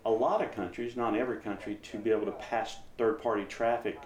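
Low-voiced man explaining things in an echoey room. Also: steady tone, quick pace. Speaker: amateur radio operator being interviewed. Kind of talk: casual explanatory interview talk. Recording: roomy echo, some background noise.

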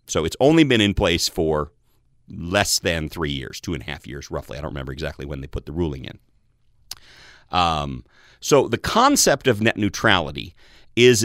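Low bass man, tech guest, lively explainer, dry studio sound. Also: no room sound. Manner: explains patiently with everyday analogies. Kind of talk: radio interview.

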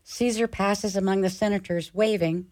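There is clear background noise behind this woman narrator. She reads scripted stage directions for a radio play in a mid-pitched voice. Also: steady tone, normal speed.

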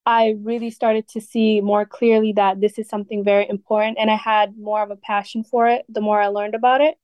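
A teenage girl, a high school student born in Ethiopia, answering radio interview questions earnestly with many fillers like "like" and "you know". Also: flat delivery, fairly quick, a little mellow, dry recording, lo-fi sound. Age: teens.

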